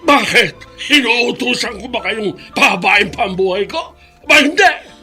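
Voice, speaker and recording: A man's voice: very high voice, expressive, a little slow, sharp tone, faint music behind, exaggerated voice. An older man with a Filipino accent playing a stubborn, self-pitying, sickly elderly father in a radio drama. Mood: bitter outburst. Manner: obstinate, self-pitying, complaining.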